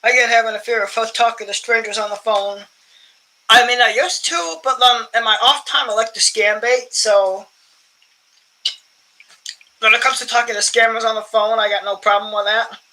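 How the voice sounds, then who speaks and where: A young man with a voice higher than most men's, talking in a loose, rambling way as he sorts coins. His tone is bright and forceful, and he often breaks off to clear his throat and laugh at himself. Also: steady tone, moderate pace, rough recording. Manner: chatty, self-amused, often clears throat. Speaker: coin collecting channel host. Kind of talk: casual rambling vlog chat while sorting coins.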